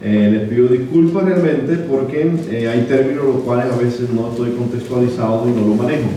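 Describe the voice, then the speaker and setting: A man with a Cuban accent preaching a sermon and reading scripture in a measured way, with frequent hesitant fillers. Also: mid-range voice, dark tone, a little hiss or hum. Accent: Cuban Spanish. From Cuba. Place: church sanctuary. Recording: echoey church room from far off.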